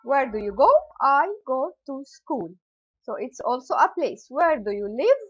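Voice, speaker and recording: A woman's voice: high voice, big pitch swings, a little slow, husky, lo-fi sound. A woman teacher carefully explaining question words to young pupils, acting out sample questions and answers. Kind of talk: English grammar lesson.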